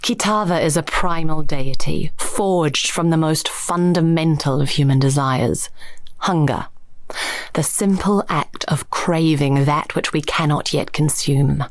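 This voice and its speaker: Woman as a low-voiced, ominous lore teller. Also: measured pace, no echo. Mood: ominous, challenging. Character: ominous, challenging woman telling of a primal god. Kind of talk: scripted lore monologue for a fantasy game.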